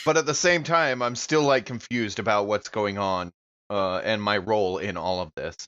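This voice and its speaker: Male game player, lowish forceful voice, casual chatty role-play. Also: moderate pace, smooth, highs cut off.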